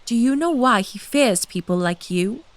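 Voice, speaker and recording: A woman's voice: on the high side, animated, moderate pace, lightly acted. A woman playing a silky centuries-old blood queen. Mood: conspiratorial. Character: ageless vampire queen, seductive, scheming. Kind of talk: full cast audio drama.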